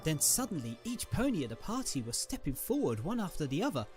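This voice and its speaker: A man's voice: on the high side, sing-song, brisk, a little mellow, faint music behind. A man reading a scripted dramatic story as its narrator.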